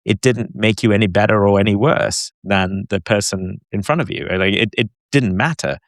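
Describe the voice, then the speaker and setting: A man with a low voice and a British accent, from Hong Kong, who talks in a thoughtful, halting way that sometimes picks up energy. He sounds close and dry, with no room around him. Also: dry recording. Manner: thoughtful, halting, animated at times. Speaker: podcast co-host. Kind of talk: casual conversation.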